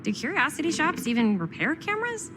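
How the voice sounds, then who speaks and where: An actress playing a plucky young amateur filmmaker in a video game drama, talking in a casual, playful, upbeat way. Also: female voice, high-pitched, very animated, brisk, a bit bright, a little hiss or hum. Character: plucky, joking teenage girl filmmaker.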